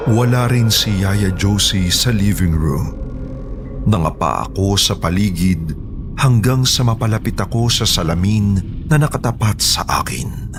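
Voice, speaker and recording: Deep-voiced Filipino man narrating horror, slow pace. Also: lively intonation, background noise. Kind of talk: scripted horror narration in first person.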